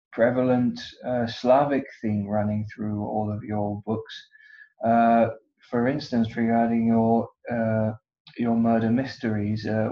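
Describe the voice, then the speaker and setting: Mellow-voiced male podcast host. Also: mid-range voice. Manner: polite, hesitant with fillers. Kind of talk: podcast interview. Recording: dull lo-fi sound.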